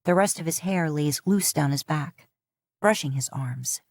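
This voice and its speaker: A woman narrating a fan fiction audiobook in a calm, even storytelling manner. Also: low-pitched, unhurried, no room sound. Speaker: podfic narrator.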